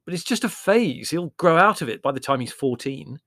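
Supercilious young literary brother for storybook, acted by a male narrator: opinionated, airy, pompous, forcefully projected. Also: a little high, lively intonation, fairly quick, no echo, clean studio sound, voice pushed up. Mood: dismissive. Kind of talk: audiobook character voice.

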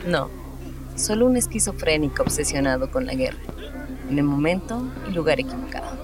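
An actress playing a cold, ruthless interrogating officer, curt and authoritative, in a Spanish-language radio drama. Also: female voice, low voice, lively intonation, a little fast, background noise. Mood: indifferent.

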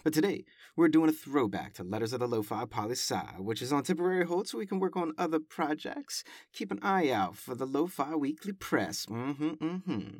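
A male podcast host talking casually, then reading his spoken-word poem in a calm, reflective, rhythmic way. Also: fairly high pitch, normal speed, hardly any pauses, no echo, clean studio sound.

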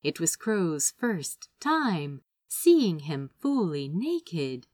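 A woman reading a story aloud as expressive scripted narration. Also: fairly low pitch, drawn-out words, no echo, clean studio sound. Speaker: fan fiction audiobook reader.

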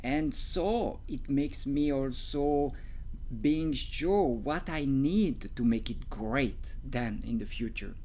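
Slow, hesitant Swiss French speaker. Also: medium pitch, mellow, slight background noise, poor audio. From Switzerland. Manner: hesitant, searching for English words with many fillers and restarts. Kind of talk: casual talk sharing creative tips.

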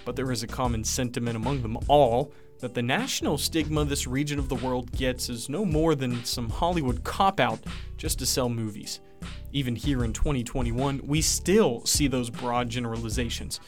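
A man reading a scripted show introduction in an even, measured delivery. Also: medium pitch, soft background music. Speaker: show host.

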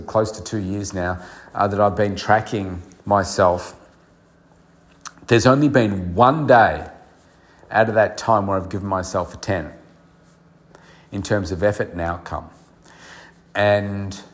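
A middle-aged man hosting a solo podcast, speaking earnestly and reflectively with many fillers, his voice nasal and congested from a cold. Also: fairly low pitch. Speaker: podcast host and coach.

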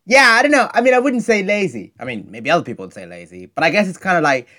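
A man from Zimbabwe co-hosting a podcast over a video call from home. He sounds laid-back and has a self-mocking sense of humour. His pitch moves around a lot, which gives his talk a lively feel. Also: fast. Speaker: podcast co-host.

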